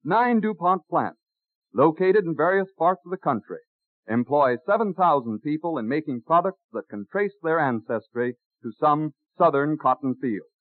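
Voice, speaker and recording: A man's voice: on the high side, animated, moderate pace, no echo. Tinny vintage male radio announcer. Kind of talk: 1930s radio sponsor's scripted announcement. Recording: dry, narrow-band old radio recording.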